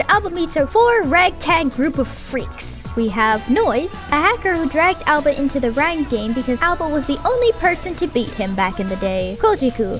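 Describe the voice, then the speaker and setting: A woman reviewing an anime in a casual, snarky way, swearing freely and tossing in playful asides. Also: high voice.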